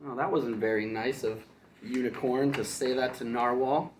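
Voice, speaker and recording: A male kindergarten teacher reads a picture book in a roomy, echoing space. His voice sits in a medium pitch, and he breaks the story down in simple words for young children. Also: fairly even intonation, normal speed, far from the mic, slight background noise. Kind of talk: animated storybook read-aloud for children.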